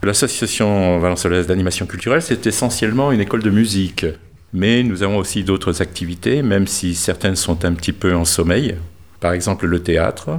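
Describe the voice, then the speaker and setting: A man who presides over a local cultural association, speaking in a measured, explanatory way with light fillers. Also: deep voice. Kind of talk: interview statement.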